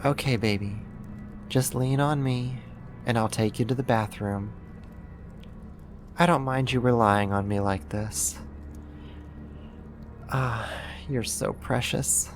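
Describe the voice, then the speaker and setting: A performer voicing a possessive yandere neighbor turned kidnapper in an intimate ASMR roleplay, coaxing and doting with a quiet menace and deliberate pauses. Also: mid-range voice, over music. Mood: doting, controlling.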